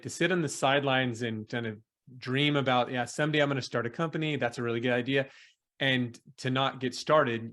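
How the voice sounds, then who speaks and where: A man's voice: mid-range voice, a bit bright. A middle-aged man hosting an interview over a video call, recapping the story in a reflective way and now and then joking. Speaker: interview host. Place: indoor room at home.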